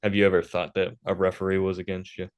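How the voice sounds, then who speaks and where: A man with a fairly low voice hosts an interview and asks relaxed questions. He pauses on fillers as he goes. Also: clear, resonant tone. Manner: informal, with hesitant fillers.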